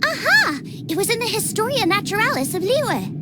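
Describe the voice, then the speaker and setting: Excitable, very high squeaky girl. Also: moderate pace, heavy background noise. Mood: bright, amazed. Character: excitable squeaky childlike girl companions, upbeat and curious. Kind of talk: video game character dialogue.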